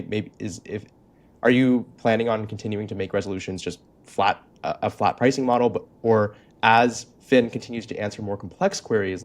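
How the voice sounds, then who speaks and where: Male podcast interviewer, lowish pitch, informal and conversational, thinks aloud while framing questions.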